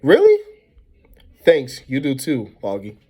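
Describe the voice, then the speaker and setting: A man voicing a friendly, excitable fifth-grade girl in a storybook, eager and chatty. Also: mid-range voice, slow, slightly rough, full character voice. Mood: excited.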